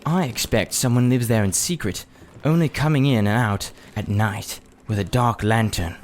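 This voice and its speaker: A man voicing an eager, adventurous schoolboy in a children's storybook, with excitable boyish bravado. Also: on the low side, moderate pace, a little hiss or hum, lightly acted. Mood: excited, conspiratorial.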